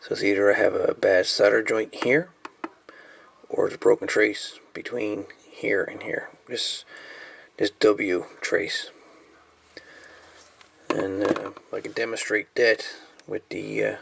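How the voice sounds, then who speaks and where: Low, gravelly man thinking aloud. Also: steady tone, slow pace, pauses often, fairly bright tone, slight background noise. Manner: hesitant, thinking aloud, folksy. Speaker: hobbyist radio repairman presenting a repair. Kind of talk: casual hands-on repair demonstration. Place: indoors at a repair bench.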